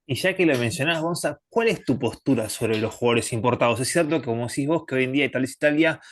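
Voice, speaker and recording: A man's voice: medium pitch, quick pace, dry recording. A man hosting an interview, asking long, winding questions with asides. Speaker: interview host.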